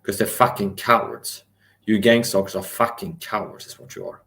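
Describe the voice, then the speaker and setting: A man's voice: on the low side, moderate pace, creaky, fairly bright tone. A man ranting angrily on a livestream, defiant and profane, talking straight at his listeners. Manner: angry, defiant, profane, repetitive; addresses listeners directly and challenges them. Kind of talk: ranting live monologue.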